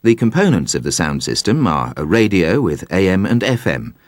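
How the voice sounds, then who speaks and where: A man with a very deep voice narrates a scripted instructional video. His pitch rises and falls in a lively way. He sounds measured and precise, and the recording is dry and close. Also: no echo. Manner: measured, precise, explanatory delivery.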